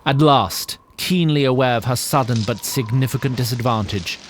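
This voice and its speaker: A man narrating a fantasy audio drama as a measured, wry storyteller who addresses the listener directly. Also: medium pitch, slight background noise, natural voice. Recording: music bed under narration.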